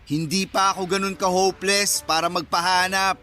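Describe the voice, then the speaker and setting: High-voiced teasing young guy friend. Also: little pitch movement, normal speed, pushed and bright. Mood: sulky teasing. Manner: bantering, playfully sarcastic. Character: teasing, sulky young male best friend. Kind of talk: radio drama acting. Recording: music bed behind the voice.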